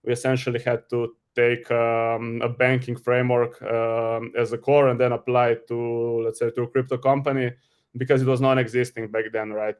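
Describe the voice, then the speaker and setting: A man's voice: mid-range voice, level tone, moderate pace, smooth. A man from Slovenia with a Slovenian accent, a guest explaining his professional background informally on an online call. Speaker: guest, crypto treasury specialist. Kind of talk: informal professional self-introduction.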